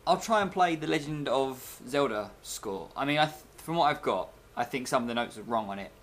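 A man's voice: mid-range voice, lively intonation, fairly quick, a bit bright, a little hiss or hum. A young man chatting casually and rambling in a video blog, recorded on a webcam microphone in a bedroom. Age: young adult. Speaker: video blogger. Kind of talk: casual rambling vlog chat. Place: small bedroom, indoors. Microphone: webcam at desk, arm's length.

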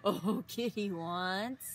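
A woman in her thirties reading a picture book aloud to young children outdoors, expressively, with exaggerated gasps and drawn-out words. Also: medium pitch. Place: outdoors in a leafy garden. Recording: outdoor recording with some ambient noise.

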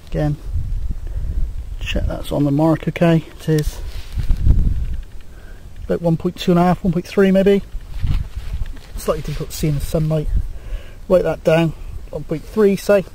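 A man's voice: fairly high pitch, fairly even intonation, slow, pauses often, mellow, poor audio. A British man giving a casual hands-on demonstration as a radio hobbyist, in a hesitant, rambling, matter-of-fact way. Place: outdoors on a grassy coastal hilltop. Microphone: handheld camera microphone. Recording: outdoor camcorder with clear background noise.

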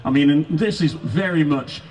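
A man's voice: on the high side, moderate pace, darkish tone. A man acting as match-day host, who interviews guests and announces teams in a showy, upbeat way. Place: outdoors at a football stadium. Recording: echoey public address, distant, crowd behind.

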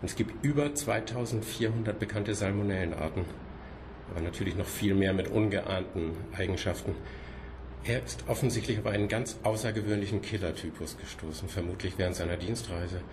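An actor playing a courteous, evasive senior institute scientist in a radio drama, formal and hesitant, repeating himself. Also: male voice, sits low, a little fast, background noise. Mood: grave, courteous. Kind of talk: naturalistic German radio play acting.

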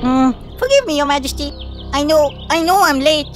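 A woman voicing a small, cunning, put-upon male cartoon rabbit with an excitable manner. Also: sits high, over music. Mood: pleading. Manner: pleading, quick-witted, excitable. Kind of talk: animated cartoon acting.

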